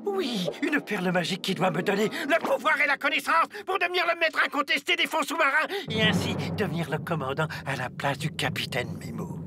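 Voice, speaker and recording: An actor playing a boastful, scheming old submarine second-in-command in a French cartoon, theatrical and gloating. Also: male voice, high voice, animated, fairly quick, few pauses, music in the background. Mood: smug. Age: older.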